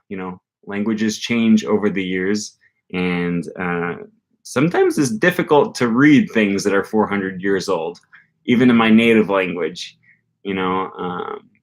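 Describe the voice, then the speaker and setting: A young man talking casually on a live stream from a small room, rambling with many ums and restarts. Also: lowish voice. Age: young adult. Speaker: language learning streamer. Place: small room with bookshelf, indoors. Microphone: webcam at desk.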